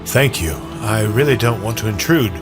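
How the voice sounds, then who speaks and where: A man acts out a courteous elderly stranger in an audio drama. He is plain-spoken and kindly, with worry in his halting delivery. Music plays behind the voice. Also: fairly low pitch, lightly acted. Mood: polite, weary. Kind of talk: audio drama character acting.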